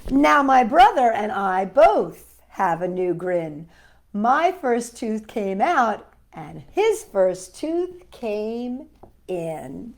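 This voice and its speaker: A woman hosting a children's storytime, reading aloud and chatting in a playful, expressive way and putting questions to her young listeners. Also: medium pitch, extremely slow. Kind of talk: animated read-aloud and chat for young children.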